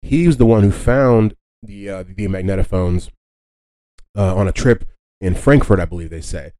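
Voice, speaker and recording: Deep, mellow-voiced man hosting a podcast. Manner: halting, conversational, often rephrasing himself. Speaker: podcast host. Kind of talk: casual explanatory history narration.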